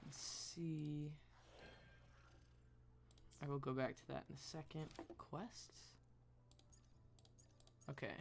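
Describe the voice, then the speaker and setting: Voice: medium pitch, fairly even intonation, slow, long pauses, slight background noise. A game streamer chatting casually and rambling while thinking aloud on a live stream.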